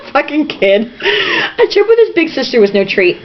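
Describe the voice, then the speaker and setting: A woman's voice: high-pitched, sing-song, slow pace. A woman reading a novel aloud informally, theatrical and irreverent, with asides. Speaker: reader. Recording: dull lo-fi home recording, faint noise.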